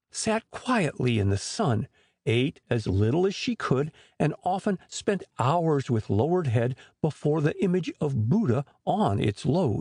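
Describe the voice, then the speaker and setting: A man narrating a storybook audiobook in an expressive storytelling manner. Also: on the high side, husky, no echo, clean studio sound.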